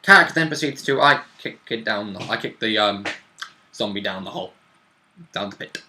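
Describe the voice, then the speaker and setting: A man's voice: fairly low pitch, lively intonation, normal speed, sharp tone, slight background noise. A man co-hosting as a player, chatting casually about a tabletop game with jokey, interjected quips. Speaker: player and co-host.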